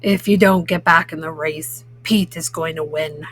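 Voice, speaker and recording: A woman's voice: low voice, steady tone, normal speed, a bit bright, pitched below the performer's own voice. A woman voicing a grumpy male toad in a children's story, with a scolding, warning manner. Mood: grumpy, urgent.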